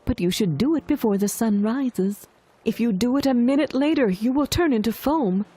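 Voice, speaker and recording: A woman's voice: fairly high pitch, moderate pace, mellow, breathy edge, faint music behind, pitched above the performer's own voice. A woman voicing a grieving, urgent mermaid sister in a fairy tale, with a pleading delivery. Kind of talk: fairy tale audiobook dialogue.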